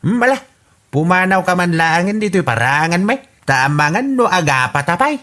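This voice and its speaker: A man's voice: big pitch swings, normal speed, sharp tone. A man with an Ilocano accent acting in a radio comedy drama, exasperated and sighing. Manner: exasperated and sighing, pitch leaping up. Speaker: voice actor in an audio comedy drama.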